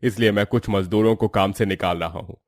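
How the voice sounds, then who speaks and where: An actor playing a stern, unfeeling factory boss in a moral story, speaking in a firm, curt manner. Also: male voice, fairly low pitch, fairly even intonation, a little fast, dry recording, clean studio sound, natural voice. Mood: firm, dismissive.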